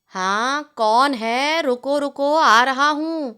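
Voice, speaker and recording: A woman voicing a demanding, food-obsessed male village landlord in a storybook tale, bossy and informal, quick to anger. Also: high-pitched, sharp tone, heavily acted. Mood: calling out. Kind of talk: storybook character dialogue.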